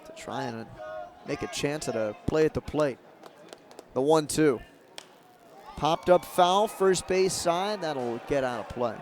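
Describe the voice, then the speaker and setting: A man doing live radio play-by-play of a baseball game as the announcer, in measured calls with emphasis on key moments. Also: a little high. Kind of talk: live radio sports commentary. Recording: crowd and ambient stadium noise behind. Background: ballpark crowd murmur.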